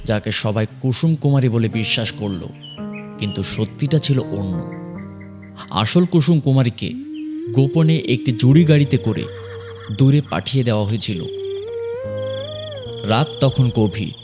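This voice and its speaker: A man narrating a scripted horror story in a suspenseful, dramatic way with deliberate pauses. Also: mid-range voice, animated. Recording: music behind the voice.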